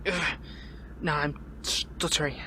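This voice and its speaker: Man voicing captive young hero, audio drama, higher-pitched male voice, wry, defiant, halting, weary and hurt, noisy background. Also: steady tone. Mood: strained, wry. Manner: halting, sardonic, stammering. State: pained, weary. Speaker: voice actor in audio roleplay. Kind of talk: dramatic audio roleplay, one-sided dialogue.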